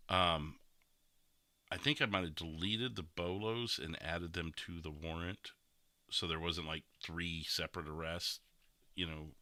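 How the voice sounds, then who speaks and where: A man's voice: low-pitched, pushed and bright, no room sound. A man hosting a gaming podcast, chatting casually as a streamer about roleplay. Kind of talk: casual podcast chat over replayed gameplay.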